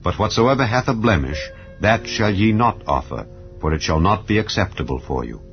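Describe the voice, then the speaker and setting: A man giving a solemn, measured, dramatized scripture reading. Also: on the low side, lively intonation. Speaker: scripture reader. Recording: lo-fi with soft background music.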